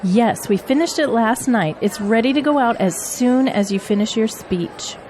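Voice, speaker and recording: An actress as efficient, high-voiced female political aide. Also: moderate pace, darkish tone, slight background noise, natural voice. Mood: brisk, efficient. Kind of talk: audio drama acting.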